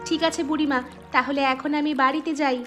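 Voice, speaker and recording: A woman plays a kind village housewife in a cartoon tale. Her voice is high, her manner patient and sensible, and music plays behind her. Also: fairly even intonation, quick pace, close to an everyday voice. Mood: reassuring. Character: sensible, kind elder village daughter-in-law. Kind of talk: Bengali cartoon fairy-tale dubbing.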